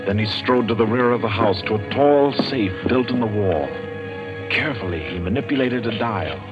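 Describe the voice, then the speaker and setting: Low-pitched man narrating vintage radio suspense. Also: animated. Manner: dramatic, ominous, measured with suspenseful pauses. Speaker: announcer and narrator. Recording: muffled vintage radio broadcast. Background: loud dramatic orchestral music.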